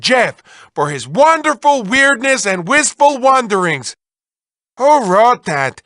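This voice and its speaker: A manic cartoon mad scientist, piercingly sharp and very high. He draws his words out slowly and theatrically. He is a whiny, lovelorn game villain who swings from cooing to wailing. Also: dry recording, studio quality, heavily acted. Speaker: video game villain reading developer messages. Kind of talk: exaggerated comic cartoon voice acting.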